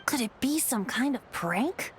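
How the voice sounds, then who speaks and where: A woman's voice: fairly high pitch, animated, a little slow, a little hiss or hum. A woman voicing a spirited, frank young female adventurer in an anime-style video game. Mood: puzzled.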